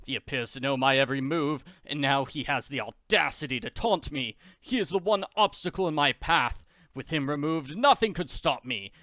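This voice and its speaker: A man voicing a haughty scheming male aristocrat villain, pompous and stilted, in a crisp dry reading. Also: on the high side, lively intonation, normal speed, dry recording, close to an everyday voice. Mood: angry scheming.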